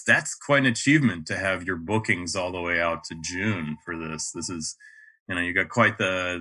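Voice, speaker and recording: A man in his fifties from North Carolina with a neutral mid-Atlantic American accent, speaking as a podcast guest in a measured way, with frequent ums. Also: sits low, pushed and bright. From North Carolina, USA. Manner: measured, many ums and uhs. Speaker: podcast guest, project manager. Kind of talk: casual interview talk.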